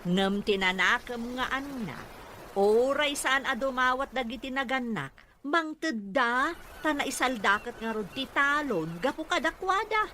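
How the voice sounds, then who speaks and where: A woman's voice: high-pitched, animated, a little slow, sharp tone, heavily acted. A woman with a Filipino accent playing a nosy village gossip in a conversational audio drama. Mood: gossipy. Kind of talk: conversational Ilocano radio drama acting. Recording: faint background noise.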